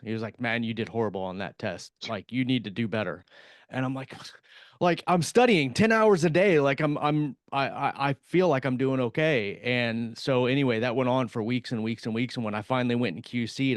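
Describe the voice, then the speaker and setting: A man talks through an old memory on a podcast, stopping and restarting his sentences often with fillers. His voice sits at an ordinary middle pitch. The sound is dry and close, with no room around it. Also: dry recording. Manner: halting, many fillers and restarts. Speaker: podcast co-host. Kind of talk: casual storytelling.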